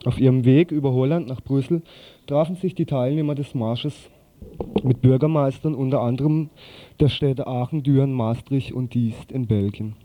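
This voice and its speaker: A man reading a radio news script in a halting way, sometimes stumbling over words. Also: medium pitch, fairly even intonation, warm tone.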